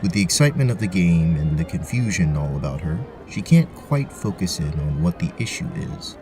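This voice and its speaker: A man's voice: deep voice, big pitch swings, fairly quick, music in the background. A man narrating a scripted tabletop fantasy story as its storyteller. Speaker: narrator, game master.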